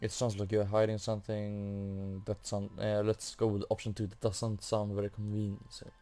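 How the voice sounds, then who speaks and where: A man's voice: fairly low pitch, monotone, normal speed, dark tone. A man giving casual commentary as he plays through a game, hesitantly thinking aloud. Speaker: gamer narrating a quest playthrough.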